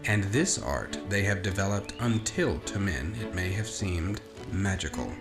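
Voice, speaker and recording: Male narrator, low register, expressive storybook reading, roomy echo with music underneath. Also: a little slow. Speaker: audiobook narrator. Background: orchestral music.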